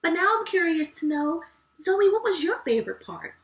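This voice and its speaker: A woman's voice: very high-pitched, sing-song, moderate pace. A woman hosting a children's show, chatting to young viewers in an effusive, encouraging way. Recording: echoey room, distant microphone.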